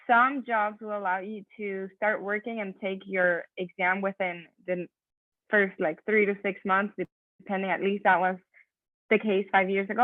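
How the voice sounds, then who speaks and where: A woman's voice: mid-range voice, no echo, phone-quality sound. A woman in her thirties from Venezuela, a native Spanish speaker, presenting her career path to students with a conversational manner and lots of fillers. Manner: conversational, many fillers and self-corrections. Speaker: physician assistant giving a talk. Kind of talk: online presentation with slides. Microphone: video call.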